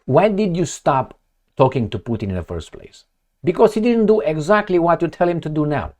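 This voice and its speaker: A man's voice: fairly high pitch, sing-song, a little fast, darkish tone. A man giving blunt, sarcastic political commentary, firing off rhetorical questions and swearing as he reacts to news reports.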